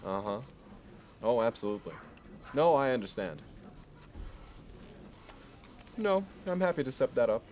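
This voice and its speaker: A man playing a smug, fastidious investment banker in an ASMR roleplay, with smooth, measured, fussy sales talk. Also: medium pitch, sing-song, a little mellow, lightly acted. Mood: chummy. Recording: clear background noise.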